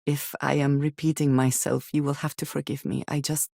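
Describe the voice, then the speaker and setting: A woman voicing a guarded, devout space adventurer and loyal companion in a video game, speaking in formal, measured diction. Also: low-pitched, flat delivery, vocal fry, mellow, no room sound, clean studio sound. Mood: earnest, tender. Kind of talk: video game character dialogue.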